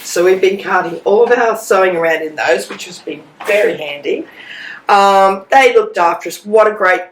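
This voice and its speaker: An Australian woman with a cold, croaky and sleep-deprived, chatting and rambling as she co-hosts a stitching vlog from a hotel room. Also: mid-range voice, moderate pace, reverberant, slight background noise, rough recording. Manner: chatty, rambling, warm asides. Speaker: co-host. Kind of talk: casual chat.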